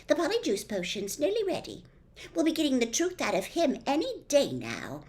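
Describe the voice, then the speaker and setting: A woman voicing a self-assured, bossy schoolgirl in a storybook, with a brisk, confident manner. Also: high voice, huge pitch swings, a little mellow, pitched above the performer's own voice. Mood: satisfied.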